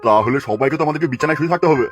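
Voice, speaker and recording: A man voices an old village grandfather in a homemade cartoon, worried and coaxing. He speaks very fast at a middling pitch, with music clearly behind him. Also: close to an everyday voice. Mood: coaxing, exasperated. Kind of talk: amateur Bengali cartoon dubbing.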